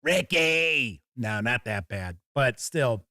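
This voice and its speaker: A man hosting a casual live tabletop gaming stream, a little raspy and hoarse from being under the weather, talking in a folksy, upbeat way. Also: medium pitch, expressive, slow pace, sharp tone, no room sound, studio quality. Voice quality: slightly raspy. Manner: upbeat, folksy greetings, chatty. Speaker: host. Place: home studio.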